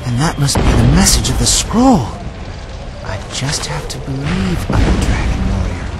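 A man's voice: mid-range voice, sing-song, moderate pace, very noisy, natural voice. A man voicing a big, earnest, goofy young panda hero in a video game, boyish and plucky. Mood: dawning confidence. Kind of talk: animated video game voice acting. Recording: game music over voice.

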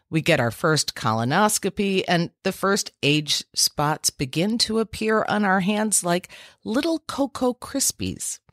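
A woman in her fifties, a podcast host, delivering a mock commencement speech in a measured, deliberate way with emphatic pauses. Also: deep voice, dry recording, clean studio sound. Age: 50s. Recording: music bed underneath.

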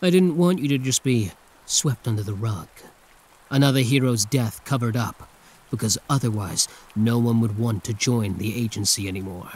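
A man's voice: medium pitch, normal speed, darkish tone, husky, lightly acted. A man playing a condescending yet protective hero turned villain in an intimate, theatrical ASMR roleplay monologue. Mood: intense, protective.

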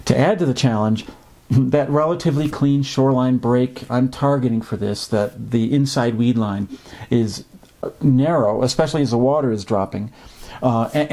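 Man, 50s, fishing host, measured explanatory delivery, filler ums, mid pitch, indoor desk setup. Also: dark tone. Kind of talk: instructional fishing talk. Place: indoor home office.

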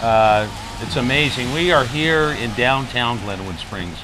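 A man's voice: mid-range voice, lively intonation, measured pace, background noise. A middle-aged man with an American accent, chatting casually to camera as host of a travel vlog. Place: restaurant table and rainy downtown street at night.

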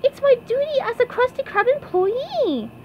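Childlike, bubbly male cartoon sponge played by a woman, very high and excitable, muffled recording with clear noise. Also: moderate pace. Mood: earnest. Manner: excitable, exclamatory. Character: eager, childlike, bubbly male sea sponge fry cook.

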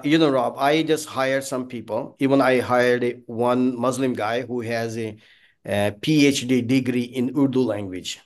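A middle-aged man from Pakistan, a native Punjabi speaker with a Pakistani accent, chatting as an interview guest in halting, non-native phrasing. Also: mid-range voice. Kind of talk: casual conversational interview. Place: small indoor office, on a video call. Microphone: video call.

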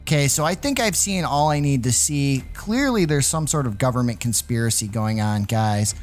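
A man hosting a gaming video, giving excited, exclamatory gameplay commentary with playful mock alarm as he addresses his viewers. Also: medium pitch, normal speed, soft background music.

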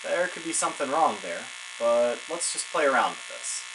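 A man's voice: mid-range voice, lively intonation, normal speed, noisy background. A man informally narrating a hands-on electronics demonstration, musing to himself as he works at his bench. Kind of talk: casual hands-on demonstration, thinking aloud. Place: cluttered indoor electronics workbench.

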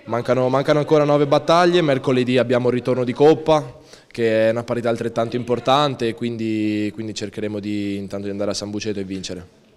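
A young man, a football defender, answering post-match questions in a quick, restless way, often repeating words. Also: mid-range voice, steady tone, a little mellow. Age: young adult. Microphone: handheld interviewer mic. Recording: long hallway reverb.

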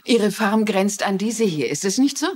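An actress playing a courteous, gently ironic older woman who writes mysteries, in a German-dubbed TV crime drama. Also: mid-range voice, lively intonation, moderate pace, a little hiss or hum, lightly acted. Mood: wry, polite.

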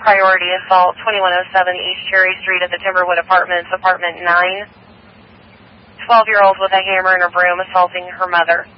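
A man's voice: high voice, completely flat delivery, a little fast. A man giving terse call-outs as a dispatcher on a police radio. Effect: police radio transmission. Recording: dull, lightly noisy police radio feed.

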